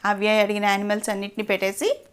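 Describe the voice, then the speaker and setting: A woman's voice: mid-range voice, monotone, fast, smooth. A woman telling a story to a child in a simple, explanatory way, often asking questions.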